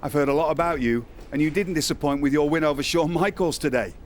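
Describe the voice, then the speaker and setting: A man's voice: fairly high pitch, quick pace, natural voice. A man playing a stern but courteous wrestling talent boss in a video game, speaking formally and with measure. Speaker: talent recruiter. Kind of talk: video game cutscene dialogue. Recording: faint background noise.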